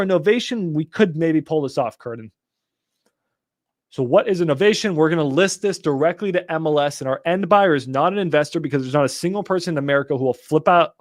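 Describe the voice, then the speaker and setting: Young man, livestream host, casual teaching, excitable, higher voice, dry close sound. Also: no room sound. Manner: excitable, swears when exasperated, talks to chat. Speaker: real estate wholesaling livestream host.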